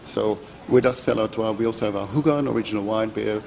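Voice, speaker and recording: A man's voice: fairly low pitch, quick pace, vocal fry, darkish tone. A man from Belgium with a Belgian accent, enthusiastically explaining beer and food pairing in a live TV interview at an outdoor festival. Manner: upbeat, enthusiastic promoter. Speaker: beer sommelier guest. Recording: faint background noise at an event.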